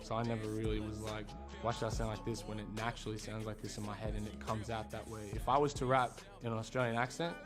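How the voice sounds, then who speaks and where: A man's voice: lowish voice, flat delivery, fast, hardly any pauses. A young man, a rapper, earnestly explaining how he sounds. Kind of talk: interview. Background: music playing.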